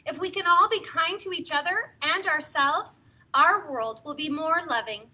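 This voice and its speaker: A woman with a high, very bright voice speaks to small children as a story time host. She is expressive and encouraging, keeping her phrases simple as she reads and chats. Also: normal speed. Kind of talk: read-aloud and talk to young children.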